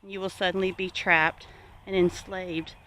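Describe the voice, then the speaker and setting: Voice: mid-range voice, level tone, normal speed, bright, forceful tone, slight background noise. A homesteading vlogger from the United States giving an informal, reflective talk to viewers in an earnest, plainspoken, advisory manner.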